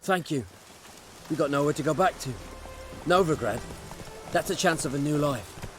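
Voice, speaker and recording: Rustic game peasant, male actor: lightish high voice, humble, folksy, earnest, music behind. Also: moderate pace, a bit bright, natural voice. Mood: grateful, earnest. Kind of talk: video game voice acting.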